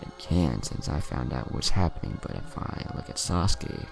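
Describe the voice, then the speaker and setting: A man voicing a calm, analytical ninja teacher in an anime fan story, explaining things in a measured way. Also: extremely low pitch, creaky, over music, heavily acted.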